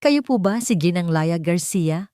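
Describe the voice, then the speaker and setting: In this story drama, a woman plays a menacing man collecting debts with veiled threats. The voice is mellow and recorded close and dry. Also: on the low side, animated, measured pace, clean tone, no echo. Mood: coldly menacing.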